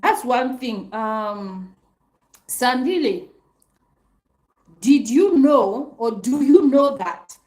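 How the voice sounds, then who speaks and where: A young adult woman hosting an opinion commentary, talking emphatically to viewers, repeating her points and filling pauses with um and uh. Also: a little high, darkish tone, poor audio. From African country outside Nigeria and South Africa. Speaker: commentary channel host.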